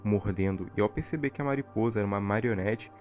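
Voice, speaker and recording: A man with a Brazilian accent narrates a scripted horror recap. His voice sits on the low side. Music plays behind him as he reads. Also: quick pace, a little mellow. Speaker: video narrator.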